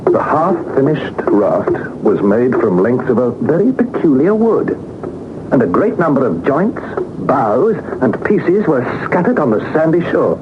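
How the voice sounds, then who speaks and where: Harry Lawson, muffled British adventurer. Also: male voice, medium pitch, big pitch swings, unhurried. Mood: astonished. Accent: British English. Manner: dramatic, literary storytelling with rhetorical flourishes. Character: Harry Lawson, earnest young Victorian adventurer recounting perilous journey. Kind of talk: 1960s British radio drama narration and acting. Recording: muffled, noisy 1960s radio recording.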